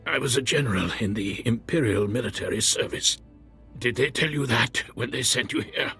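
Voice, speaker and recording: A man playing a wounded defecting military general, weary and fatalistic, with a husky, strained voice in a science fiction game. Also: mid-range voice, normal speed, bright, forceful tone, faint music behind. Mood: bitter resignation.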